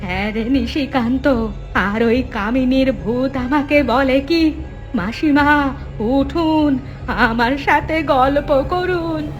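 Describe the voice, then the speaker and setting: A woman's voice: a little high, fairly even intonation, drawn-out words, fairly bright tone, highs cut off, faint music behind. A woman voicing a frightened older village mother in a horror cartoon, wailing and pleading fearfully.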